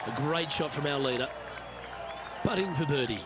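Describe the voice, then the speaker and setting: A man's voice: fairly high pitch, normal speed, loud music behind the voice, over a noisy crowd, natural voice. A man playing a genial, composed lead golf announcer in a video game, commentating conversationally with understatement and tag questions. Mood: engaged, conversational.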